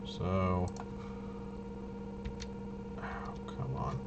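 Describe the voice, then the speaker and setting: A man thinking aloud in casual tabletop gameplay commentary, halting and muttering to himself. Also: heavy background noise. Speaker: tabletop RPG player and presenter.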